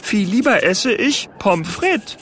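High-voiced cheeky little boy dragon. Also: very animated, slow pace, fairly bright tone, a little hiss or hum, full character voice. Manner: pert, childlike delivery.

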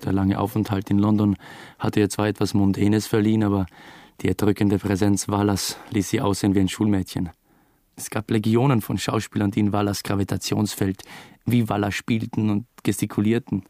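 An actor playing an uneasy, wry first-person narrator in a radio drama. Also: male voice, lowish voice, little pitch movement, a little fast, mellow, dry recording, studio quality, natural voice. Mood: wry, resentful. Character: uneasy, wry male narrator, a jealous hapless suspect.

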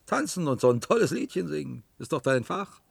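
An actor playing a coarse, needling, grudging householder in a German radio play, talking in a blustering colloquial way. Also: male voice, a little high, big pitch swings, fairly quick, creaky, slightly rough, full character voice. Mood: mocking, wheedling.

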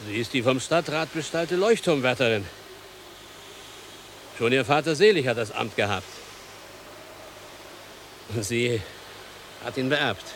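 A man's voice: medium pitch, animated, measured pace, long pauses, fairly bright tone, a little hiss or hum. An actor playing a dutiful, plainspoken coachman in a radio play, polite and explanatory. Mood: matter-of-fact. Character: dutiful coachman, long-serving island servant, plainspoken. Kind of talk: 1990s German radio play acting.

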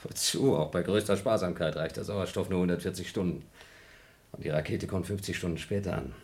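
An actor playing an astronaut on a moon station who is agitated and impatient and keeps exclaiming. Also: male voice, sits low, a little fast, darkish tone, natural voice. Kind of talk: 1970s radio play acting.

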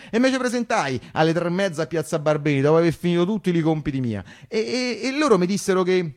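A man with a Roman accent playing a naive, animated working-class character recalling his wartime boyhood in a colloquial, emphatic radio monologue. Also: mid-range voice, close to an everyday voice. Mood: rueful storytelling. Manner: emphatic, repetitive, conversational.

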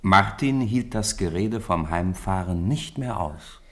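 Low-voiced man narrating a story. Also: animated, unhurried. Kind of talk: scripted story narration for radio play.

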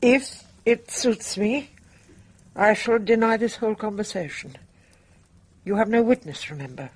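A woman's voice: mid-range voice, measured pace, darkish tone, breathy edge. An actress playing a resolute, dignified older Englishwoman in a radio drama, speaking with formal, grave composure. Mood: firm. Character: dignified older woman, resolute, guilt-burdened bridge player.

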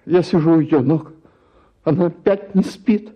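A man's voice: a little high, moderate pace, natural voice. An actor playing a doting, henpecked husband who coos, apologizes and frets as he narrates. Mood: fretful. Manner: cooing, apologetic, anxious. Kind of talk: radio play acting and narration. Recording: dull lo-fi with faint music.

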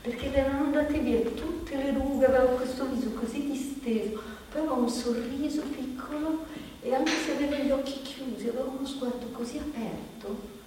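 Theatre piece: actress as tender reminiscing woman, high-pitched, recorded far off in a reverberant hall. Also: moderate pace, dark tone, lightly acted. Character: woman tenderly recalling her dead mother's face. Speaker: stage actor. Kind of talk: theatrical monologue. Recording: distant, very echoey hall, faint noise.

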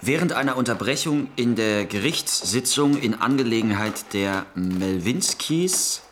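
A medium-pitched male voice in a radio play, reading literary prose aloud as if at a rehearsal. There is faint noise behind him. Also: lively intonation, unhurried, a bit bright. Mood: measured. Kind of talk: read-aloud of a literary text.

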